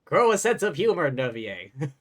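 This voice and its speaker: A man's voice: on the high side, big pitch swings, moderate pace, a bit bright. A man giving casual, wry live commentary as he streams a video game, with game music behind him. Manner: wry, sarcastic.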